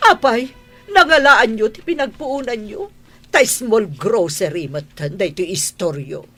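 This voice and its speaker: A woman's voice: on the high side, expressive, unhurried, fairly bright tone, soft background music. A woman with an Ilocano Filipino accent playing a haughty, scornful rich madam and possessive mother, scolding imperiously in a radio drama. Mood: demanding, scornful.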